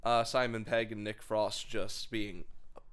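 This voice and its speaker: A man on a podcast panel giving casual, emphatic film opinions in halting, informal phrasing. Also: on the low side, flat delivery, a bit bright, smooth. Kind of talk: casual film review chat.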